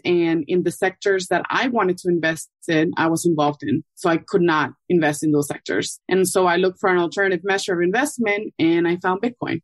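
Low-pitched woman from Ecuador. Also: no room sound. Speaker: guest. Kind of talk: casual interview answers.

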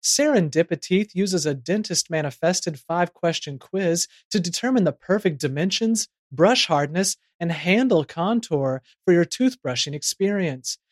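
A man's voice: high-pitched, lively intonation, normal speed, hardly any pauses, no echo, clean studio sound. A man hosting a comedy podcast, reading playful scripted narration and ads. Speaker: podcast host.

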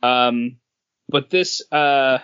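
A man with a medium-pitched voice speaks slowly and haltingly as a podcast co-host. He searches for words in relaxed, conversational talk, and the sound is a little muffled and lo-fi. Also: clean tone. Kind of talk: casual comic book chat. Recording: dull lo-fi recording.

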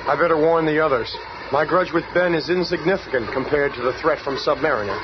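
An actor playing a cheeky young male superhero in a radio drama, earnest and excitable. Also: on the high side, fast talker, lightly acted. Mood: worried. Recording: muffled, noisy old radio recording.

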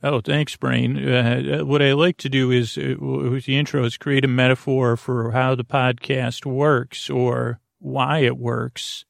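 A man's voice: mid-range voice, flat delivery, measured pace, hardly any pauses, dry recording, clean studio sound. A man hosting a sleep podcast in a rambling monologue, meandering and hesitant, often stumbling over his words. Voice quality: creaky. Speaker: podcast host.

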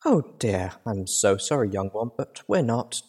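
A man voicing a polite, motherly female pony in a storybook reading, soft and courteous. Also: fairly low pitch, sing-song, measured pace, slightly rough, exaggerated voice. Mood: kindly, apologetic. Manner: soft, courteous, gently apologetic. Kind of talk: audiobook character voice.

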